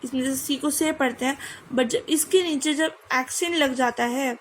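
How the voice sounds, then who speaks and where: A young Indian woman teaching a French lesson, mixing Hindi and English and slowly spelling letters out to drill pronunciation. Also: high voice, slight background noise.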